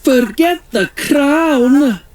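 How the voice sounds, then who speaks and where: A storybook reader voicing a frantic, friendly lake monster shouting out warnings. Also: sits high, lively intonation, drawn-out words, dry recording, pitched above the performer's own voice, full character voice. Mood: urgent.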